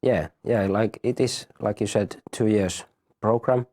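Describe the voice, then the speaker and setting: A man in his thirties, a university teacher, explaining a study program in a halting way, often repeating words. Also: on the low side, darkish tone. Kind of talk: explaining in an interview.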